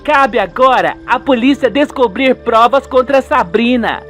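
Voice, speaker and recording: A man's voice: very high-pitched, unhurried, fairly bright tone, soft background music, pitched above the performer's own voice. A man voicing an insistent, gossipy woman in a radio soap. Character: woman accusing and speculating about an attempted murder.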